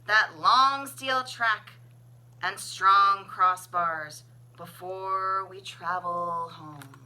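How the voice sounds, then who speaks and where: A woman reading a children's picture book aloud as a librarian, with expressive, sing-song, deliberate phrasing. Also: medium pitch, low-quality recording. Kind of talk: children's storybook read-aloud.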